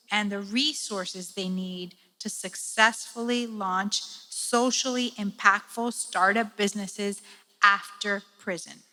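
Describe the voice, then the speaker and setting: A woman with a Cuban accent hosts the evening, introducing each contestant in a playful, announcing way. Her voice is bright and pitched a little high, and she takes her words slowly. A long hall echo trails behind her. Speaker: event host. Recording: echoey hall.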